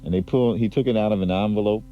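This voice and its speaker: Low-voiced chatty radio DJ. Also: male voice, racing, slight background noise. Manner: chatty, laughing, rambling. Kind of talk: casual on-air DJ talk. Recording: muffled 1980s AM/FM aircheck tape.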